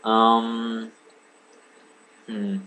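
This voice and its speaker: A man's voice: fairly low pitch, monotone, very slow, bright, forceful tone, poor audio. A young man in his late teens, a native Swedish speaker, rambling casually to a webcam at home, full of ums, asides and apologies. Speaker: vlogger. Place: home room lit by a lamp.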